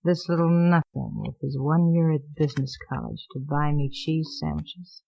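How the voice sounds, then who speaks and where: Bitter, world-weary widow: sardonic, defiant, hard-boiled radio delivery, close and dull vintage recording. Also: female voice, low-pitched, normal speed, vocal fry, dry recording. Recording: muffled vintage radio broadcast.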